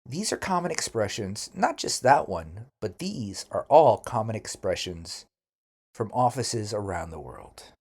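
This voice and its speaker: Husky male English teacher, very varied intonation. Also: on the low side, sing-song, darkish tone. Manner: deliberate, careful enunciation for learners. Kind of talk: scripted language lesson with model phrases to repeat.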